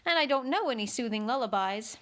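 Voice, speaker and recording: A woman's voice: a little high, expressive, brisk, a bit bright. A woman voicing a puzzled, impatient young girl who exclaims indignantly in a storybook reading. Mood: polite.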